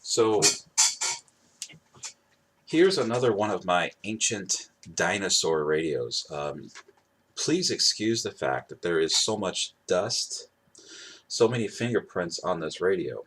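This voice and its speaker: A man's voice: sits low, expressive, slow, long pauses, fairly bright tone. A man giving a casual, unscripted show-and-tell, hesitant and rambling, often correcting and repeating himself. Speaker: vlogger showing his weather radios. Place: indoors at home. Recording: clearly noisy home recording.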